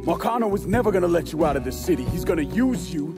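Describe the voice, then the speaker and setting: A man's voice: a little high, animated, fast talker, music in the background. A man playing a determined, tough young war veteran in a video game, talking bluntly and firmly. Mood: insistent. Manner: blunt, firm, streetwise. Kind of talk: dramatic video game acting.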